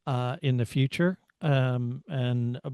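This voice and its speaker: A middle-aged American man co-hosting a motorsport podcast, talking through his analysis in a casual, measured way as he thinks aloud. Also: mid-range voice, smooth, dry recording, muffled recording. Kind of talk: casual race analysis chat.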